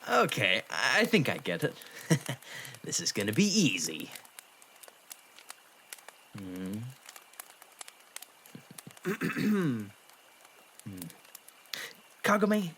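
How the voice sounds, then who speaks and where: A man's voice: medium pitch, wildly swooping pitch, drawn-out words, pauses often, sharp tone, a little hiss or hum, full character voice. A man acting a brash, impatient young half-demon in a fan audio drama, blunt, dense and grumbling. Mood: cocky, musing.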